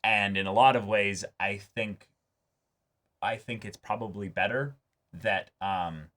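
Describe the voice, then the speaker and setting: A man's voice: fairly low pitch, takes it slowly, a bit bright. A man co-hosting a reaction show, explaining his take in casual fan discussion and often voicing imagined quotes.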